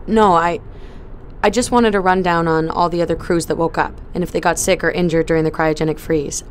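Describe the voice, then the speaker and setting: An actress playing a quiet, resolute young woman on a spaceship crew. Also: lowish voice, fairly even intonation, a little fast, slight background noise, lightly acted. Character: determined young female spaceship crew member.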